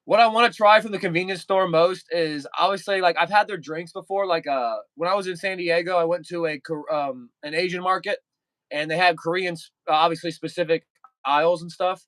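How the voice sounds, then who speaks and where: A man's voice: sits high, steady tone, a little fast, a bit bright, no room sound. A young man chatting casually with viewers on a livestream, rambling and repeating himself with lots of fillers.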